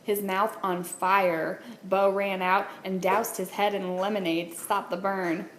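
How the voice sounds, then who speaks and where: A woman's voice: mid-range voice, little pitch movement, measured pace. A woman, a teacher, reading a children's storybook aloud indoors. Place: indoors at a wooden table, book on camera. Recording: roomy echo, clear background noise.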